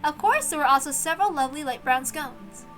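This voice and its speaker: A woman reading a story aloud as narrator, in an expressive scripted storytelling style with music behind her. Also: high voice, brisk. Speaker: reader and narrator. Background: background music.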